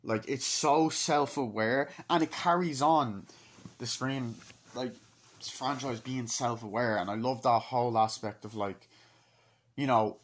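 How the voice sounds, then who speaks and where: Excitable young man rambling through a film review. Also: mid-range voice. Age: 20s. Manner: excitable, sweary, acting out snatches of dialogue. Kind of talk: rambling, informal movie review vlog. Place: small wood-panelled room indoors. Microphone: laptop microphone at close range.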